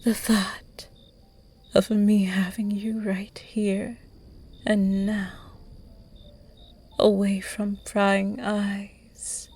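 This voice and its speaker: A woman's voice: mid-range voice, little pitch movement, full character voice. A woman whispering as a seductive vampire lady. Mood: seductive teasing. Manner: slow, close, breathy whispering with soft laughs. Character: seductive predatory female vampire at a masquerade ball, teasing. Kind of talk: whispered ASMR roleplay audio.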